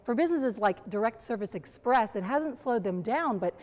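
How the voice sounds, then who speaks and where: A woman's voice: fairly high pitch, expressive, normal speed, a little mellow. A young adult woman working as a TV reporter and host, reading polished scripted broadcast narration in a projected, presentational way.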